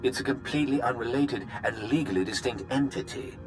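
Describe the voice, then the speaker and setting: An actor playing a smug, slippery male corporate executive who talks in a suave, sarcastic, drawn-out way. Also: mid-range voice, close to an everyday voice. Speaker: corporate representative. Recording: game cutscene with music behind.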